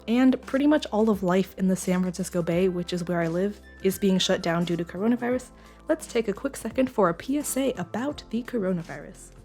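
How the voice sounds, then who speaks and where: A young woman narrates her study routine in a relaxed, chatty voice-over that is a little croaky from a cold. She explains at length, with filler words and small restarts. Quiet music plays behind her. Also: medium pitch. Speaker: study vlogger. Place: lives in the San Francisco Bay Area.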